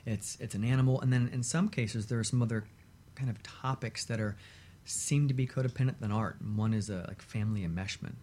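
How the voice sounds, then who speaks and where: A man with a fairly low, very mellow voice talks in a measured, reflective way, like a counselor in discussion. His phrasing is conversational and thoughtful. There is some noise in the recording. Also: fairly even intonation. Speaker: relationship therapist as show guest.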